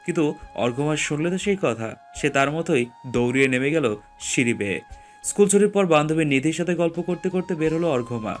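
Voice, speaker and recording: A man's voice: a little high, animated, brisk, faint music behind. A man reading scripted story narration as the narrator.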